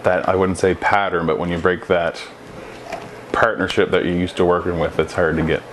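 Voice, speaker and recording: Deep-voiced man in noisy room. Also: level tone, normal speed. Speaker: emergency dispatcher. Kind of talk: casual interview answers.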